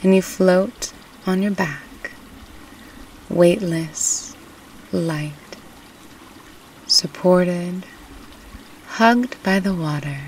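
A woman narrating a guided meditation in a soothing, unhurried, gently instructive way. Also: fairly low pitch. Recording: distant mic with faint background noise.

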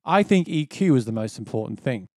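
A man's voice: sing-song, brisk, warm tone, no echo. Enthusiastic man, close dry studio mic. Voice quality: describes his own voice as quite low. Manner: enthusiastic, explains step by step. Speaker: music gear tutorial host. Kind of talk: casual spoken tutorial. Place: small home studio, indoors. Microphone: dynamic mic on a boom close to the face.

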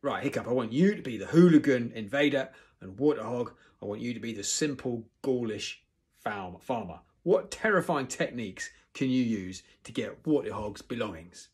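A man voicing a loud, blustering male Viking warrior teacher in a storybook, roaring out commands. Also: on the low side, moderate pace, few pauses, husky. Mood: commanding.

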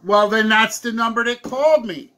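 A man putting on a confused, hard-of-hearing old man on a prank call, slow and insistent, with a strained raised voice. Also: very high voice, pushed and bright. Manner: slow, insistent, repeating himself. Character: confused, hard-of-hearing old man fretting about his benefit check. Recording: home room with some background noise.

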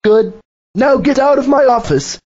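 A man playing a short-tempered coffee shop manager who barks orders and yells at his staff. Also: extremely high pitch, very animated, moderate pace, breathy edge, lo-fi sound, heavily acted. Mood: stern. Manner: yelling, bossy.

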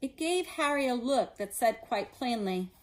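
A woman in her forties reads a storybook aloud for children. Her voice is fairly high and very lively, moving up and down expressively through the narration. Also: unhurried, slight background noise. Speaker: storybook reader. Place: living room indoors.

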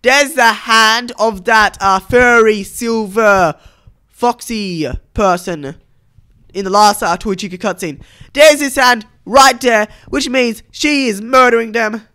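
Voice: medium pitch, slow pace, pushed and bright, smooth. A young adult YouTuber giving casual reaction commentary on game cutscenes.

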